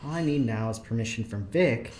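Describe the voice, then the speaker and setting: A man's voice: mid-range voice, lively intonation, brisk, a little mellow, slight background noise, natural voice. A man playing a friendly ship engineer who trades favors, cajoling and hesitant, in an audio drama. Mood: dry, confiding. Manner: cajoling, hesitant, eager to please.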